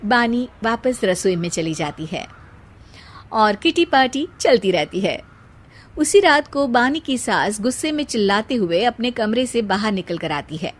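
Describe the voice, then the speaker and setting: A woman's voice: fairly high pitch, animated, unhurried. A woman giving scripted narration for a family cartoon story.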